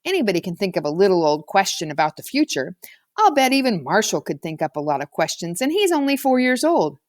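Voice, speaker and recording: A woman's voice: mid-range voice, big pitch swings, fairly quick, no echo, studio quality, natural voice. A woman voicing a confident, bossy boy with a slangy, theatrical way of talking in a storybook. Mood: cocky, casual. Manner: casual slangy persuasion, theatrical when chanting. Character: bossy, confident boy playing a solemn high priest. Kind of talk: children's storybook character reading.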